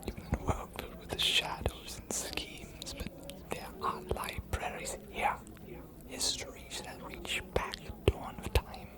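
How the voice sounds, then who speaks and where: A woman voicing a scholarly ancient vampire archivist in an ASMR fantasy roleplay, cryptic and inviting, hushed and deliberate with weighty pauses. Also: lightly acted. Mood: cryptic, encouraging.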